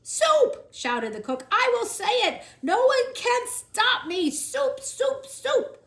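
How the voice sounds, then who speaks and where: A woman's voice: very high-pitched, very animated, unhurried, fairly bright tone, roomy sound, voice pushed up, full character voice. A woman doing a wailing, distraught castle cook. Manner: wailing, overwrought. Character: weepy, distraught middle-aged female castle cook.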